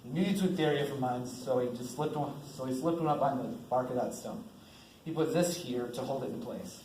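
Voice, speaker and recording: A man's voice: mid-range voice, a little fast, a little mellow, lightly acted. Teen boy as a blunt army major, echoey stage. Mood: calm, firm. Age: teens. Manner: blunt, authoritative. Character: gruff, commanding army bomb-disposal major. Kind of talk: amateur stage play acting. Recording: echoey stage, faint noise.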